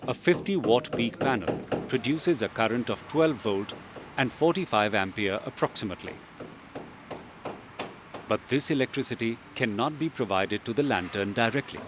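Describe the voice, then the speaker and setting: A man reading scripted instructional narration in a measured, explanatory way. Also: medium pitch, lively intonation, noisy background. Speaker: training film narrator. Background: background music.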